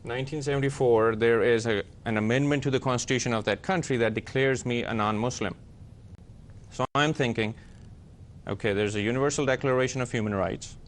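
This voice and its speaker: A man from Pakistan speaking as a panelist, explaining and reflecting deliberately, phrase by phrase. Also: medium pitch. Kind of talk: personal reflection in a discussion.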